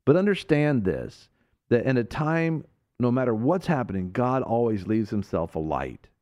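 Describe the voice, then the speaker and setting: A male radio preacher with a very lively, rising-and-falling voice. He drives his points with fervent emphasis, then drops into slow, hushed phrases. His pitch sits in the middle range for a man. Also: dull audio. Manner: fervent, emphatic, swings between loud and hushed. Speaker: radio show host and preacher.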